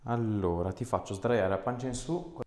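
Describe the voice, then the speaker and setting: A man in his thirties, an osteopath calmly giving short instructions and chatting with a patient during an exam. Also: fairly low pitch, normal speed. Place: small indoor clinic treatment room. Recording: echoey small treatment room.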